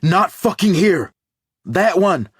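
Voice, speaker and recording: Man doing bossy woman medic, raised pitch, husky, curt orders. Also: lively intonation, normal speed, no room sound, clean studio sound. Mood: commanding. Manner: barks urgent orders, swears. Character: sharp commanding woman medic in a crisis.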